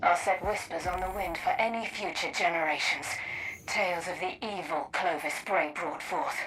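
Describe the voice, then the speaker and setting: A woman's voice: fairly low pitch, normal speed, a bit bright, slight background noise, natural voice, poor audio. An actress playing a defiant, sardonic woman in a sci-fi video game, speaking in a wry, dramatic way. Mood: wry bitterness.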